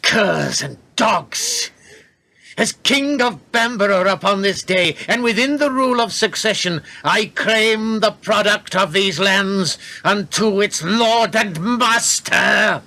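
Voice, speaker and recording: A man's voice: sits high, animated, slow pace, vocal fry, bright, forceful tone, heavily acted. An actor playing a haughty, greedy warrior king and father in a radio play, with pompous, archaic delivery. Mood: defiant, imperious. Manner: pompous, declamatory archaic speech.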